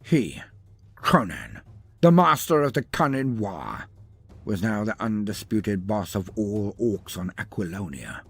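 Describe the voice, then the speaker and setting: Husky man narrating dramatically. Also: on the low side, very animated, a little slow, a bit bright, faint music behind. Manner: theatrical, with emphatic short phrases. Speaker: storyteller narrator. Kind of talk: dramatic scripted fantasy narration.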